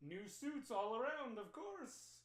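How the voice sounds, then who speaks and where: A man's voice: big pitch swings, slow, a loud crowd, full character voice. A man playing a fussy, formal male tailor who talks in a prim, pushy manner. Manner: prim and formal, pitch leaping wildly.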